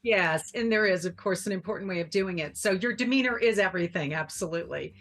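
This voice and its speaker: A middle-aged woman appearing as a parenting expert on a TV talk show, explaining her advice calmly and clearly. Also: lowish voice, quick pace, soft background music. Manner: calm, explanatory, warm advice-giving.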